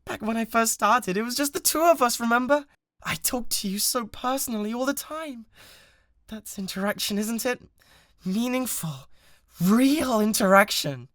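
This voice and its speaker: A man's voice: extremely high pitch, moderate pace, no room sound, studio quality. A British man playing an obsessive, bratty young livestreamer in a dramatised roleplay, talking intimately and needily to the listener with an undercurrent of menace. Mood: pleading, insistent. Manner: intimate, pleading, swinging between hushed and insistent.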